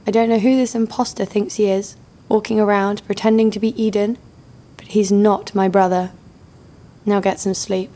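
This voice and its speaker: A woman's voice: mid-range voice, flat delivery, moderate pace, dark tone, close to an everyday voice. Tough, sardonic woman bounty hunter, acted out. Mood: curt, bitter. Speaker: lead actor and narrator. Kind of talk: scripted audio drama narration.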